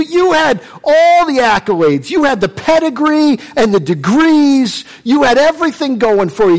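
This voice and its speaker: A man voicing an indignant, scornful man who shouts accusations at an old acquaintance. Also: high-pitched, pitched above the performer's own voice, poor audio.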